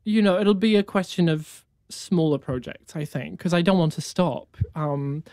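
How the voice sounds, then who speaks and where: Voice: mid-range voice, a little mellow, no room sound, clean studio sound. A young music student from New Zealand whose first language is Afrikaans, answering interview questions with enthusiasm and plenty of fillers. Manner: enthusiastic, halting, many fillers. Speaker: conducting student, guest.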